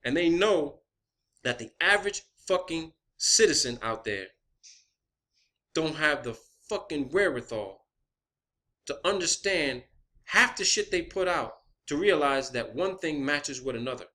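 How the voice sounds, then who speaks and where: A man's voice: fairly high pitch, expressive, unhurried, bright, forceful tone. A man speaking as an online video creator in an impassioned, profane rant, combative and emphatic, repeating phrases to drive points home.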